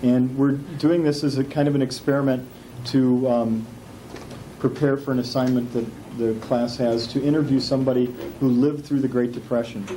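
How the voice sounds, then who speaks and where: A man's voice: medium pitch, steady tone, normal speed, dark tone, slight background noise, poor audio. A man from Indiana, a history teacher introducing a guest to his class, rambling and correcting himself as he goes. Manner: rambling, self-correcting, friendly. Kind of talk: classroom interview. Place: school classroom.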